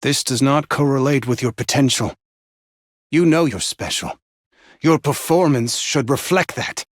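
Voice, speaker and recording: An actor playing an intense, manipulative mentor, theatrical and condescending, with a husky voice, in dramatic villain voicelines. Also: male voice, mid-range voice, measured pace, dry recording, clean studio sound, close to an everyday voice. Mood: coaxing, pressing. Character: intense, demanding male mentor, manipulative and passive-aggressive.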